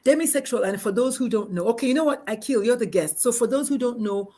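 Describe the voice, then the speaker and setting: A woman with a Nigerian accent hosting a live interview, talking in an excitable, rambling, effusive way. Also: on the high side, fast talker. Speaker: host.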